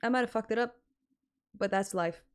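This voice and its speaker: A woman chatting casually on a livestream in an animated, self-deprecating and sometimes sweary way. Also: on the high side, moderate pace. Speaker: live streamer and amateur voice actor. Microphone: microphone at adjustable close distance.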